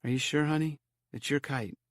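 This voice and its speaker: A man's voice: fairly high pitch, fairly quick, dry recording, clean studio sound, voice pushed up, close to an everyday voice. A man voicing a polite, reserved young mother in an audiobook story. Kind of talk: audiobook character voice.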